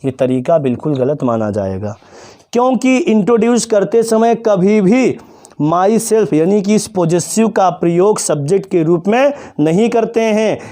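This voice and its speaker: A male teacher giving a classroom lesson to children, asking questions and answering them himself, and slowly spelling out example phrases. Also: high voice, expressive, dark tone. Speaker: English teacher.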